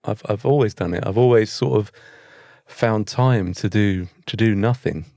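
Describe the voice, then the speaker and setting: A man's voice: lowish voice, moderate pace, vocal fry, warm tone, dry recording, clean studio sound. A man with a British accent chatting informally as a podcast co-host. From Britain. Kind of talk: casual chat.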